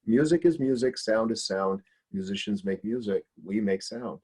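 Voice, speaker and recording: A man, a music producer on a video-call panel, giving animated, emphatic opinions with casual slang. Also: on the low side, normal speed, husky.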